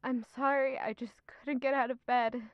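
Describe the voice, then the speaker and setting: A woman's voice: sits high, little pitch movement, moderate pace, no room sound, highs cut off, close to an everyday voice. An actress in an audio drama playing a guarded, evasive young noblewoman who claims to be unwell, answering reluctantly.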